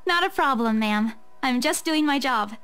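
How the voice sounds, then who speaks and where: A woman's voice: high voice, expressive, moderate pace, a bit bright, smooth, soft background music, voice pushed up. A woman voicing a polite young female real estate agent in a visual novel, deferential and hesitant, tending to stammer.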